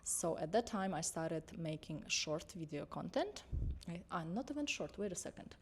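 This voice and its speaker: Young female coach, low voice, unscripted, reassuring, self-correcting. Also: sing-song, moderate pace, a little hiss or hum. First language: Russian. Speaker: confidence and mindset coach. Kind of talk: unscripted vlog talk to camera.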